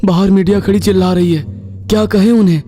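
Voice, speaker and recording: A man's voice: high-pitched, fairly even intonation, fast, warm tone, soft background music, close to an everyday voice. A man playing a worried junior policeman in a horror story, reporting and questioning with urgency. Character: worried male police subordinate reporting to boss.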